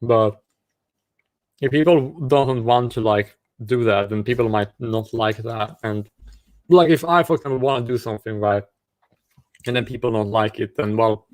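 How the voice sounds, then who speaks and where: A man from South Africa hosting a livestream, asking a stranger questions in halting, restart-heavy casual talk over a video call. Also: medium pitch, no echo. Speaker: livestream host chatting with strangers.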